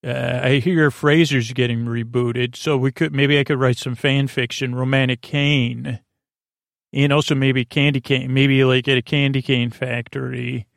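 A man hosting a bedtime podcast in a murmuring drone, meandering and halting as he stammers and repeats words. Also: mid-range voice, level tone, creaky, dry recording, studio quality. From United States. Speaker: podcast host. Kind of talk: rambling bedtime podcast monologue.

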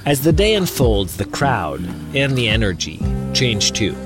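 A man narrating a news feature as the reporter, in a lively storytelling delivery. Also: mid-range voice, unhurried, over music.

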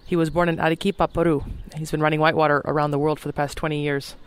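Man, podcast host, earnest, formal introductions, smooth clear voice, slightly high. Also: little pitch movement, very fast. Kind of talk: interview hosting. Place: hotel rooftop in an old city.

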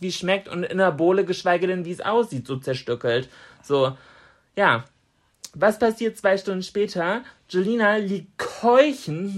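Woman, 30s, animated co-host; swinging, expressive delivery, quick to laugh while telling stories. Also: fairly low pitch. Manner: animated storyteller, laughs often, acts out her own quotes. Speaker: podcast co-host. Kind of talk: casual chat, anecdote telling.

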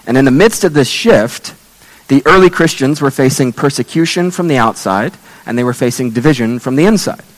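Hoarse male preacher in a reverberant hall. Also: mid-range voice, a bit bright. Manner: earnest, measured preaching with scripture readings. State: losing his voice. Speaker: pastor. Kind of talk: sermon.

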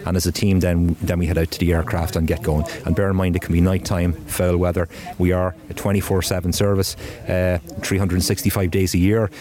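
A man's voice: low voice, flat delivery, brisk, few pauses, dark tone. A man from a rescue helicopter crew, giving an outdoor interview and often repeating words as he starts sentences. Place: outdoor event with crowd.

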